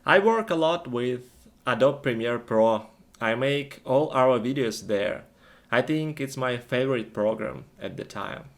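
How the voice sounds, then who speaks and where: A man's voice: medium pitch, lively intonation, measured pace, creaky. A man co-hosting an English lesson, chatting through questions and answers in short, simple, plainly worded sentences. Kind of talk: conversational question-and-answer for learners.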